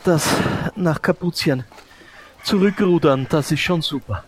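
A man improvising a polite, adventurous shopkeeper in an audio play, speaking haltingly and repeating his words. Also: a little high. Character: adventurous, polite male cork-shop owner.

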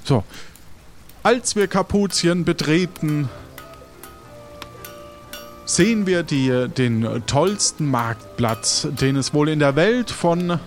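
A man improvising the narration of an adventure audio play, halting as he searches for words. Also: fairly high pitch. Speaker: game narrator and player.

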